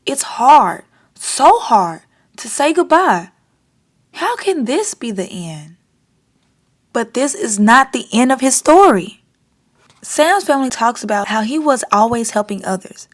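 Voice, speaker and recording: A woman hosting a storytime, reading a children's picture book aloud in an expressive, deliberate way. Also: on the high side.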